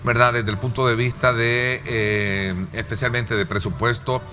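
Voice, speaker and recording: A man with a Salvadoran accent hosting a political interview in a formal, wordy and halting manner. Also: mid-range voice, flat delivery, pushed and bright. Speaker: talk show host.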